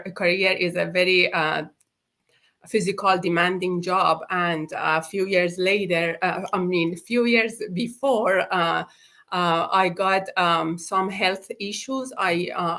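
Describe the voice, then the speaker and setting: A woman's voice: lowish voice, a bit bright. A woman from Iran, a native Persian speaker, introducing herself on a live video call in a hesitant way with many fillers. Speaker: co-host.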